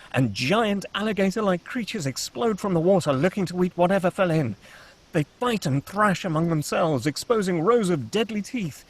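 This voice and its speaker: A man with a fairly high voice narrates a screenplay. He reads action lines and scene headings as scripted storytelling. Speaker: stage-direction narrator.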